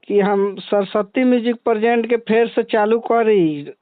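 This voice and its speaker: A man with a Bhojpuri accent in a casual phone chat, asking questions in leisurely, drawn-out phrases on a recorded call. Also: sits high, dry recording, narrow, tinny audio. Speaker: comedy channel owner interviewing a guest.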